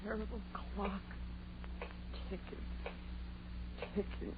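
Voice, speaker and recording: A woman's voice: mid-range voice, flat delivery, takes it slowly, natural voice. An actress playing a frightened, pleading young woman in an old-time radio horror drama, anxious and imploring. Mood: weary dread. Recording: tinny, noisy vintage radio recording.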